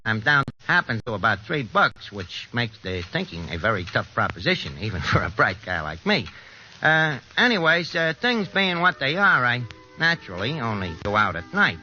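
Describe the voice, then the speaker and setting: Actor as cocky New crook, dull old recording. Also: male voice, mid-range voice, sing-song, normal speed, slight background noise, lightly acted. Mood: confiding. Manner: streetwise, rambling, boastful slang. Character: cocky, slangy small-time crook on the lam. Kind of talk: 1940s radio acting.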